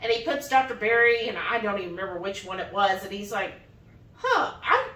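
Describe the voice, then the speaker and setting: A woman's voice: mid-range voice, fairly quick. A woman telling a personal story casually as a vlog host, animatedly acting out the dialogue as she retells it. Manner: animated retelling, often quoting dialogue in acted voices. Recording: roomy echo, distant microphone.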